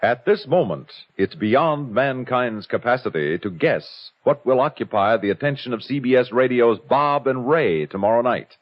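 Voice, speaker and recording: A man's voice: medium pitch, lively intonation, normal speed, hardly any pauses. A man with an American accent reading a scripted promo as a vintage radio announcer, polished and wryly mock-serious. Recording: dull vintage radio recording.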